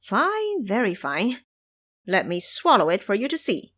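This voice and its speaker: A woman's voice: mid-range voice, wildly swooping pitch, a little slow, fairly bright tone, full character voice. A woman voicing a boastful, crafty male monkey trickster in an audio storybook. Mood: cocky.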